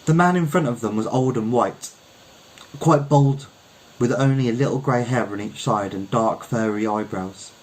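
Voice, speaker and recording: A man narrates a short story. His voice is of medium pitch. He reads literary prose aloud as a storyteller. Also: normal speed, a little mellow, poor audio. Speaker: story reader.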